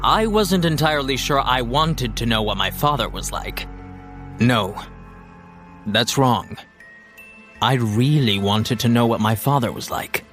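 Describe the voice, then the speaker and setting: Casting: self-mocking young hero narrator, medium-pitched, confiding scripted delivery with light underscore. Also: male voice, expressive, measured pace, long pauses, fairly bright tone, soft background music. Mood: reflective. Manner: wry, confiding. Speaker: first-person narrator.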